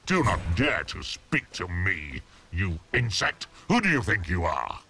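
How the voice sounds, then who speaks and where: A man voicing a cruel demon emperor in a video game, gravelly and very deep, with grandiose, contemptuous pronouncements. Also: very animated, pushed and bright, a little hiss or hum, heavily acted. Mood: enraged scorn. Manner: slow, grandiose, theatrical pronouncements. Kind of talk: video game villain acting.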